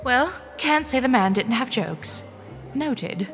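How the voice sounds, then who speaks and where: A woman's voice: high-pitched, a bit bright, heavily acted. A woman playing an anxious, sardonic young woman, narrating and acting in a first-person romance audio drama. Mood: sardonic, bantering. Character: anxious, sardonic young woman, broke and flustered, defiant underneath.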